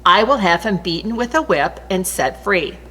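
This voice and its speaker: A woman's voice: medium pitch, lively intonation, moderate pace, a bit bright, slightly rough. A woman reading the part of a male Roman governor reasoning and protesting before a crowd in a Bible story. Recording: long reverberant hall echo.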